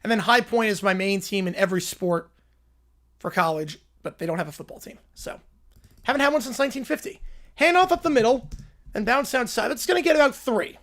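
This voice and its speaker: A young man from Long Island hosts a football watch-along stream, calling each snap and then drifting into easy chat with his viewers. His voice sits fairly high for a man. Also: brisk, fairly bright tone. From Long Island, New York. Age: 20s. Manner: chatty, answers viewer questions between plays. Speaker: livestream host and play-by-play commentator. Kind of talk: casual live play-by-play with viewer chat.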